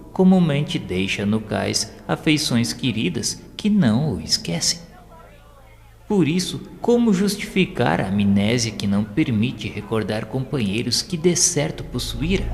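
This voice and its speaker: A Brazilian man narrates an audio novel in a low register. His reading is formal and measured. The voice rings with long hall reverb, and music plays behind it. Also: gravelly.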